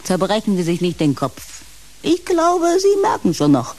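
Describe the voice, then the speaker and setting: Blunt motherly confidante, lively intonation. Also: medium pitch, moderate pace, very noisy. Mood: dry, motherly. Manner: dry, blunt, teasing. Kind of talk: German radio play acting.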